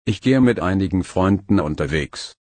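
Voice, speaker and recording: Husky, low-voiced man reading phrases. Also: no room sound. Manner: slow, even, careful phrase-by-phrase reading. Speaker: phrase reader.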